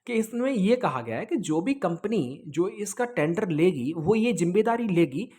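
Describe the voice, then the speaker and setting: A man's voice: high voice, very animated, moderate pace, a little mellow. Male online exam coach, informal and explanatory, checks in often, code-mixes English. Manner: explanatory, repeats himself, with check-in tags; mixes English words into speech. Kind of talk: informal online lesson, answering student questions.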